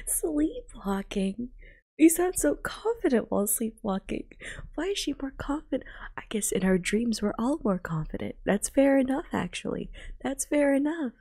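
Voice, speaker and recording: A young woman giving gushing, casual reaction commentary in a hushed, half-whispered ASMR manner, close to the microphone. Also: high voice, sing-song, measured pace, husky. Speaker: VTuber reacting to a game trailer.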